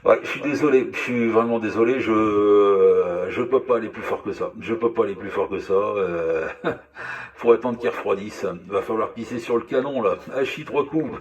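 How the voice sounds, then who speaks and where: A man's voice: fairly low pitch, moderate pace, far from the mic, a little hiss or hum. A man chatting casually over two-way radio as a hobby operator, speaking hesitantly, repeating himself and often apologetic.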